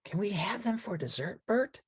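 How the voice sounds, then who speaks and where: A woman voicing a sweet, eager little girl puppet in a children's storybook, speaking softly. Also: mid-range voice, expressive, moderate pace, slightly rough, dry recording, clean studio sound, voice pushed up. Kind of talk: children's storybook read-along character voice.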